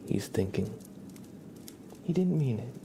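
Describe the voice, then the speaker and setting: A man livestreaming ASMR, chatting softly and playfully and often dropping into whispers. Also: mid-range voice, big pitch swings, slow pace, creaky, background noise. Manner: playful, mock-serious, doting talk about and to a pet. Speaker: ASMR live streamer.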